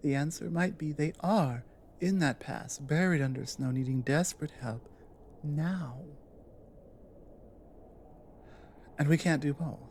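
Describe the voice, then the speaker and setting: A woman playing a big, earnest, protective orc warrior in an intimate ASMR audio drama, speaking low in a halting, thoughtful way. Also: a little mellow, slight background noise, close to an everyday voice. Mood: worried, deliberate. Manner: halting, deliberate, earnest delivery with pauses.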